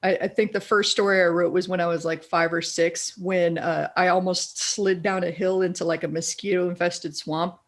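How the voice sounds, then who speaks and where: A middle-aged woman with a deeper, raspy voice, a guest in a casual interview who tells animated stories and laughs often. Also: normal speed, few pauses. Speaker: guest, copywriter.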